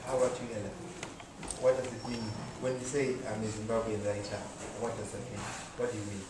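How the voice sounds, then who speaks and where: A male panel moderator with a low voice, picked up from far off in an echoey hall with loud noise behind him. He speaks slowly and measuredly, filling his pauses with hesitant "uh"s. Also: little pitch movement. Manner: slow and measured, with many "uh" pauses. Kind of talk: panel discussion. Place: festival venue indoors.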